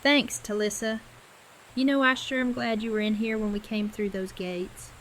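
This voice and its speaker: A woman's voice: medium pitch, fairly even intonation, normal speed, slight background noise, natural voice, low-quality recording. An actress playing a caring, practical young woman in an audio drama, speaking in an informal, plain way. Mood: confiding.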